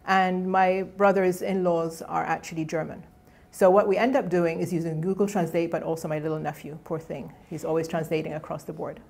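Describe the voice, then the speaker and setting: A middle-aged woman whose first language is Egyptian Arabic speaks from a lecture podium. Her voice sits low with a gravelly, creaky edge. She talks in a loose, conversational way, often breaking off and restarting her phrases. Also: a little mellow. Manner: conversational, with frequent restarts and fillers. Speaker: guest lecturer. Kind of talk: academic colloquium talk. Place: lecture hall.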